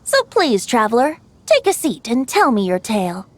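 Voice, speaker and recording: A woman's voice: a little high, wildly swooping pitch, normal speed, a little hiss or hum. A woman voicing a youthful, breezy male bard in a video game, with a lilting, theatrical storyteller's manner. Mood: delighted. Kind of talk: video game character dialogue.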